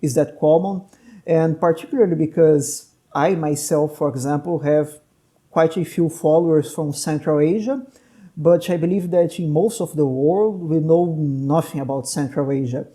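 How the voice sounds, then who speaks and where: A man hosting a podcast in English. His voice is mellow and dark, and he talks fluently and at length in an easy, explanatory way. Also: a little high, normal speed. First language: Portuguese. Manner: chatty and articulate, with long explanations. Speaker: podcast host, medical doctor. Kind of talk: casual interview conversation.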